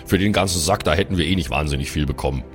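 A man's voice: rumbling low, very fast, soft background music, pitched below the performer's own voice. A man playing a bookish, know-it-all dwarf in an improvised fantasy audio drama, wordy and lecturing. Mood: disapproving.

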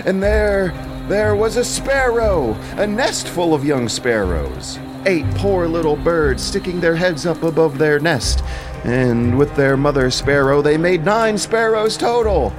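An actor playing a wily Greek commander in an audio drama, rallying weary soldiers with theatrical, coaxing, ornate storytelling. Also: male voice, fairly high pitch, lively intonation, measured pace. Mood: coaxing, persuasive. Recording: music under the voice.

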